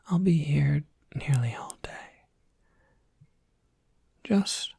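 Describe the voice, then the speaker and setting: A person voicing a courteous Cerberus professor in a whispered ASMR roleplay, polite, gently scholarly and prone to rambling, with a soft breathy whisper. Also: mid-range voice, dry recording, studio quality, exaggerated voice. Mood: chatty, genteel.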